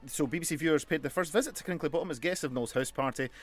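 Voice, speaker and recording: A man's voice: fairly high pitch, very fast, soft background music. A middle-aged man co-hosting a radio show, chatting casually and jokily between bits of read-out trivia. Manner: jokey, chatty.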